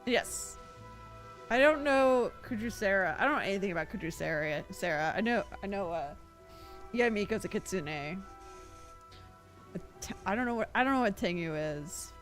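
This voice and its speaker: A woman streaming a game, chatting casually with her viewers in a rambling, expressive way. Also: medium pitch, normal speed, soft background music.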